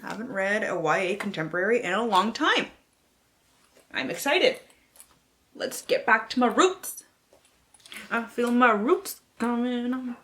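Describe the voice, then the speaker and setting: A woman's voice: a little high, animated, measured pace, long pauses, fairly bright tone. Lady doing a casual book vlog, super dramatic and playful, swears a lot, slangy. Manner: theatrical, playful delivery. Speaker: book vlogger.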